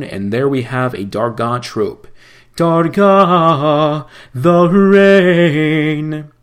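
Man, cantor-style teacher, slow deliberate delivery, mid-pitched voice. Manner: slow, measured explanation between chanted phrases. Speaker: cantor teaching Torah chanting. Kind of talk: explaining and chanting scripture.

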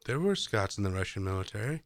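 A man's voice: fairly low pitch, very animated, fast, no room sound. Goofy man joking through a movie. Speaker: movie commentary co-host. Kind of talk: casual riffing movie commentary.

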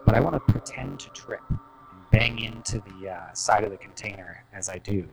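A young man playing a tabletop game, thoughtfully planning his moves out loud with fillers. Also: lowish voice, moderate pace, gravelly, poor audio. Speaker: player.

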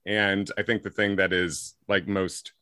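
A man's voice: low voice, flat delivery, unhurried, pushed and bright, smooth, no room sound, studio quality. A comedian from Chicago with a slight Chicago accent, chatting loosely in an interview, rambling and correcting himself as he goes. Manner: rambling, self-correcting, frequent likes and ums. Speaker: comedian guest. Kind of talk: casual interview chat.

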